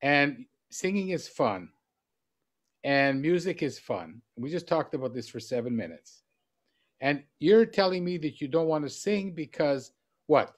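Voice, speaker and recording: A man's voice: on the high side, expressive, a little slow. A middle-aged man hosting a show over a video call from a room with bookshelves, teasing and encouraging his guest. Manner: teasing and encouraging, gestures while speaking. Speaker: host. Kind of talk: casual video call interview. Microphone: video call webcam.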